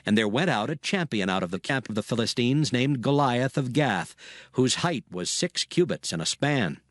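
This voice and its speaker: A man's voice: mid-range voice, lively intonation, normal speed, no echo, studio quality. A man narrating scripture as a scripted dramatic audiobook.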